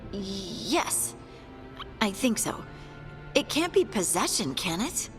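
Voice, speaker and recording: An actress playing an earnest, determined dwarf scout in a video game, plain-spoken in manner. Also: female voice, mid-range voice, sing-song, slow. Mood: subdued. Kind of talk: video game cutscene acting. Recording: game dialogue under clear music.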